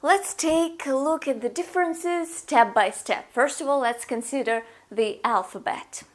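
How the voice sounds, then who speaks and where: Young woman, language teacher, slow careful delivery, slightly high voice, small room. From Russia. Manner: explains slowly, points at a whiteboard and gestures. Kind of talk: video language lesson. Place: indoors, small room in front of a whiteboard.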